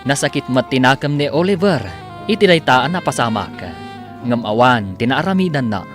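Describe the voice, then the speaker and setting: Casting: male radio drama announcer, Filipino accent, lively swooping delivery of scripted narration, music clearly underneath. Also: medium pitch, normal speed.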